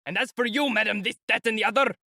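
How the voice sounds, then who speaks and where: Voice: medium pitch, moderate pace, no room sound, studio quality, natural voice. A reader voicing a hot-tempered police lieutenant who blusters and scolds. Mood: furious. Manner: shouting, blustering. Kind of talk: audiobook character voice.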